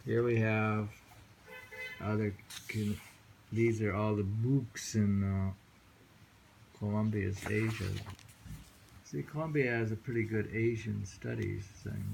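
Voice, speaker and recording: A man's voice: fairly low pitch, steady tone, slow pace, gravelly, long pauses, noisy background. A man reading poems aloud and chatting casually at home, musing with hums and chuckles. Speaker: reader and host. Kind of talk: casual chat and poetry reading. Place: home in New York City on a rainy day.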